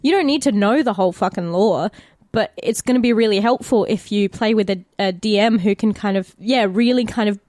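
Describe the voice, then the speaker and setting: A woman's voice: medium pitch, fairly quick, darkish tone, dry recording, studio quality. An Australian woman co-hosting a podcast, chatting casually in an informal, sweary way. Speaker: podcast co-host.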